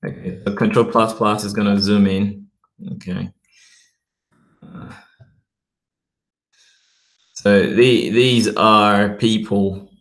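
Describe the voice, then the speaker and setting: Low-voiced man rambling casually. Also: fairly even intonation. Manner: rambling, repeats okay, reads web text aloud slowly. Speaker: vlogger. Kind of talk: casual talk to camera.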